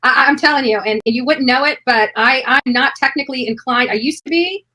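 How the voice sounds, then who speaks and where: A woman's voice: sits high, brisk, bright, forceful tone, rough recording. A woman in her early forties in casual, emphatic discussion, often repeating phrases to drive a point home. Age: early 40s. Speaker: true crime YouTuber.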